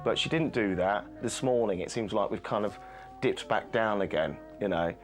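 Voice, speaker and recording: A man's voice: fairly low pitch, moderate pace, soft background music. A man working as a vocal coach, giving firm, blunt pep talks to a singer. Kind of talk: coaching and reality TV interview.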